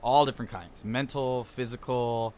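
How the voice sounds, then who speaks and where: A man's voice: medium pitch, steady tone, moderate pace, a little mellow. Man, 30s, easygoing storyteller co-host. Light background hiss. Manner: easygoing storyteller, laughs while he talks. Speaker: podcast co-host. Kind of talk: casual chat.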